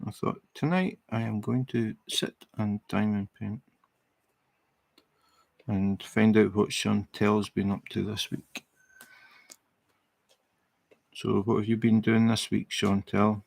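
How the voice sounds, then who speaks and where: Scottish man, middle-aged, unhurried rambling chat, dry close sound, gravelly edge. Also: on the low side, dry recording. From Scotland. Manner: relaxed, rambling, halting with many fillers and restarts. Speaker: livestream host. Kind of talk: casual chat while crafting, reading viewer comments.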